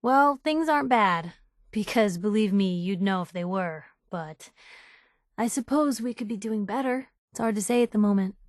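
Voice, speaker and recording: A woman's voice: medium pitch, lively intonation, normal speed, no room sound, studio quality, natural voice. An actress voicing a plucky, principled young woman reporter, a video game companion with sassy, earnest, slangy banter. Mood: earnest, uneasy.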